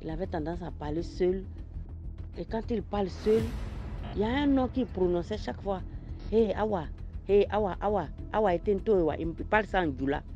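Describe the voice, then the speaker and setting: A man from Ivory Coast with an Ivorian French accent, slowly telling a personal mystical story in a phone voice note and repeating phrases for listeners. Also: high-pitched. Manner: slow, repetitive storytelling addressed to listeners. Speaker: caller sharing a story. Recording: dull voice note over loud music.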